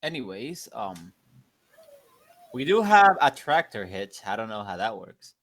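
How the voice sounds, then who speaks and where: A man's voice: lowish voice, sing-song, a little slow, fairly bright tone. A man taking part as a player in a tabletop role-playing game, chatting casually and asking unsure questions.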